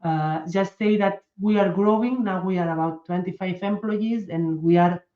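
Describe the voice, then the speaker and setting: A woman's voice: on the low side, normal speed, roomy sound, muffled recording. A woman with a Spanish accent giving a medical talk, carefully restarting phrases as she searches for English words. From Spain. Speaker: pharmaceutical medical director presenting. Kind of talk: conference presentation over video call.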